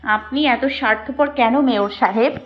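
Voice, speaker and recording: A woman's voice: fairly high pitch, moderate pace, lightly acted. A woman playing a wronged, anguished and defiant young mother in an emotional romantic audio drama. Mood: anguished, accusing. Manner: emotional, pleading and accusing delivery. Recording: muffled audio with music behind. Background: background music.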